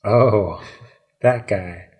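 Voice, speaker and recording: A man's voice: on the low side, very slow, a little mellow. A man co-hosting a podcast in casual chat, musing aloud and joking as he plays along. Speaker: podcast co-host playing along with the story.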